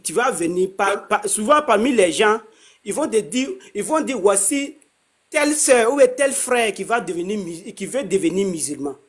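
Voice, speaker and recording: A man explaining his faith in a casual conversation, halting and repeating himself often. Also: high-pitched, lively intonation, husky. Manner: halting, repeats words, many restarts.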